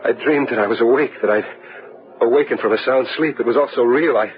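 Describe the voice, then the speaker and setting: An actor playing a haunted, overwrought widower in an old-fashioned gothic radio drama, speaking haltingly and repeating himself. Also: male voice, medium pitch, natural voice. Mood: haunted, horrified. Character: haunted, nerve-shattered widower in gothic horror. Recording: muffled radio drama recording, music behind.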